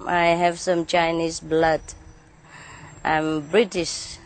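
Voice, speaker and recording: Low-pitched woman, earnest teacher. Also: little pitch movement, a little hiss or hum. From Vietnam. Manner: earnest, unhurried, frequent soft laughs and "uh" pauses. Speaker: spiritual teacher. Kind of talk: interview answers.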